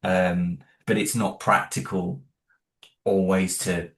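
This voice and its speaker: A man's voice: sits low, flat delivery, slow, fairly bright tone. A man chatting in an interview about making music and sound for his audio drama, talking loosely with many fillers and restarts. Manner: rambling, many fillers and restarts, self-deprecating. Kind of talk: casual interview chat.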